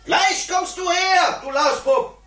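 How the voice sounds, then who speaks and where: An actor playing an indignant, hot-tempered father who sputters and shouts in a 1950s comic radio play. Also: very high-pitched, slow pace, noisy background. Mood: furious, bellowing. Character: blustering hot-tempered father, squabbling over his young son. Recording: roomy, distant 1950s radio recording.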